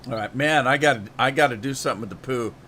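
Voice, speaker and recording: Folksy rambling man, voice going rough. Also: mid-range voice, quick pace, bright, forceful tone, slight background noise. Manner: rambling, folksy, restarts often. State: slightly losing his voice. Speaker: game streamer. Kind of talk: casual live-stream chat while playing.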